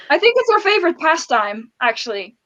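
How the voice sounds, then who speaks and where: High-voiced, emphatic Dutch woman. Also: sing-song, moderate pace, fairly bright tone, muffled recording. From Netherlands. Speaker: book channel co-host. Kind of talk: casual group chat.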